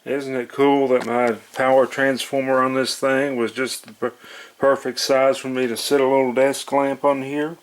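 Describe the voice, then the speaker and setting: A man's voice: mid-range voice, little pitch movement, moderate pace, clear, resonant tone. A man giving casual, unscripted narration of a hands-on repair, folksy and hesitant as he thinks aloud. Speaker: hobbyist demonstrating an electronics repair. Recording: noticeable background noise with clicks.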